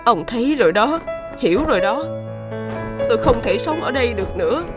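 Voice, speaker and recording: A woman's voice: on the high side, lively intonation, a little fast, music in the background, natural voice. A woman playing a distressed, weary mother fallen from riches in a fairy-tale cartoon, plaintive. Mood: bitter, sorrowful.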